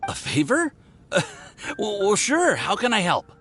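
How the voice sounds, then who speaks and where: A man's voice: high voice, huge pitch swings, unhurried, over loud music, heavily acted. An actor playing an earnest, nervous young male researcher in an anime game, stammering and awkward. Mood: awkward, hesitant. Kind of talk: anime video game voice acting.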